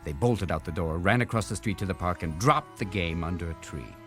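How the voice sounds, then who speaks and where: A man narrating a children's storybook aloud in an expressive read-aloud style. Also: low-pitched, brisk, soft background music.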